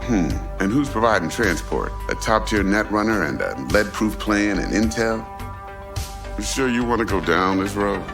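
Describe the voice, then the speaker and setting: A very deep-voiced crime fixer from a video game. He sounds gravelly and calmly bossy, handing down streetwise terms like pronouncements. He is a man used to having the last word. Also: music in the background. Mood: cool, unyielding. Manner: slow, pronouncing, streetwise slang and aphorisms. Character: big shady older fixer, calm, bossy crime middleman.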